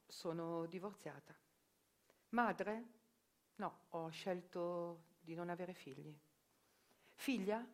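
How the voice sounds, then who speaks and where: Ironic Italian-speaking woman, theatrical monologue. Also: medium pitch. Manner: ironic, self-questioning, rising to urgent outbursts. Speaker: journalist and stage performer. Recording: radio broadcast with faint music. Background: live music.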